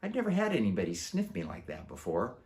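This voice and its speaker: A man narrates a children's story as its author. His voice sits fairly low and moves through wide, lively swings of pitch in an animated storytelling style. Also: fast talker. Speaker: author reading aloud.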